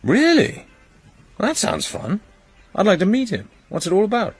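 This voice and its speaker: An actor playing an urbane secret agent in a radio drama, with a dry, probing manner and a gravelly voice. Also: male voice, high-pitched, wildly swooping pitch, moderate pace, soft background music, exaggerated voice. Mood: curious, charming.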